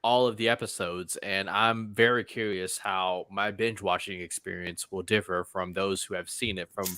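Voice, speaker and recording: A man's voice: sits low, steady tone, normal speed, bright, forceful tone, clean tone, no room sound. A man hosting a casual chat show, talking informally and circling around his point.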